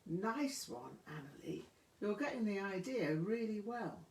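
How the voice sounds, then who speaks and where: A woman voicing a relaxed, witty teenage English boy with an easy, thoughtful charm. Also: medium pitch, measured pace, echoey room. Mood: pleased.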